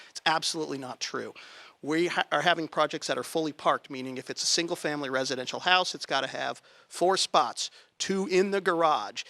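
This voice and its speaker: A California man talks through a handheld microphone at a meeting, plainspoken and emphatic. His tone is bright and forceful. The sound is dry and close. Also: a little high, no echo. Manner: conversational, plainspoken, emphatic on key points. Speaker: planning commissioner speaking at a political club meeting. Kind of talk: public talk to a local group. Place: meeting room.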